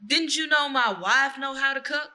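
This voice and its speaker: A woman's voice: high voice, moderate pace, bright, forceful tone. A woman playing an exasperated, bossy male town boss with a Southern US accent, scolding in theatrical comic dialect. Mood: indignant. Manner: scolding, demanding, exclamatory.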